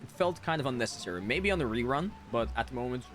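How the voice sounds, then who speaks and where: A man chatting casually and a little haltingly on a gaming livestream. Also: medium pitch, expressive, a bit bright, faint music behind. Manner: informal, halting, chatting with viewers. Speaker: game streamer. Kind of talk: casual livestream chat over gameplay.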